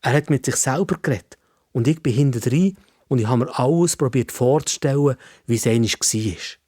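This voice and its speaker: A man's voice: medium pitch, fairly quick, dark tone, husky, no echo, clean studio sound. A man with a Swiss German accent narrating a children's story in the first person, in simple, conversational phrasing.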